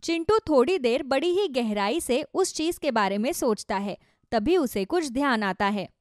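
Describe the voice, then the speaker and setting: A woman's voice: sits high, lively intonation, normal speed, dry recording, clean studio sound. A woman narrating a scripted children's story.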